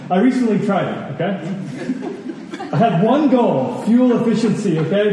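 High-voiced man preaching in an echoey hall. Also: dark tone. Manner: rhetorical questions, repeated phrases for emphasis. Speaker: pastor preaching. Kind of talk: sermon to a congregation. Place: large room at a church gathering. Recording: echoey hall, distant mic, faint noise.

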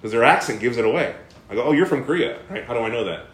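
A man teaches a Bible class in an echoey room, his voice sitting at an ordinary middle pitch. He talks animatedly, stops often to toss questions to the group, and stumbles through fillers and restarts. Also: lively intonation, very fast. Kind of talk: interactive bible study teaching.

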